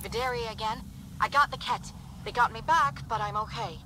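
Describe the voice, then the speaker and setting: An actress playing an earnest, devout alien starship engineer in a video game, speaking with urgent, slightly hesitant sincerity. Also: female voice, fairly high pitch, normal speed, big-room sound, noisy background, natural voice. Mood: earnest, practical.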